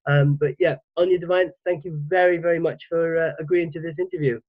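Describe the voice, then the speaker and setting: A man's voice: on the high side, level tone, brisk, no echo. A man hosting his own channel, asking questions eagerly and stumbling over his words with many fillers. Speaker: YouTube channel host, interviewer. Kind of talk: casual interview hosting. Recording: thin phone-like sound, dry.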